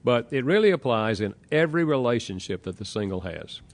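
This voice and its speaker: A man with a Southern American accent, an author answering interview questions in a calm, explanatory way and walking through examples. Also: medium pitch, fairly quick. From Southern United States.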